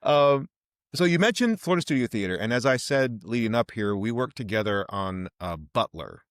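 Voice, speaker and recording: A man's voice: medium pitch, lively intonation, moderate pace, fairly bright tone, no room sound, clean studio sound. A man hosting an interview podcast in a casual, conversational way.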